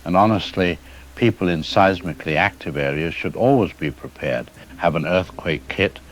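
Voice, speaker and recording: A man playing a measured, cautious geologist explaining things as an expert guest in an audio drama interview. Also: low-pitched, a little hiss or hum, close to an everyday voice, poor audio. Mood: calm, advisory.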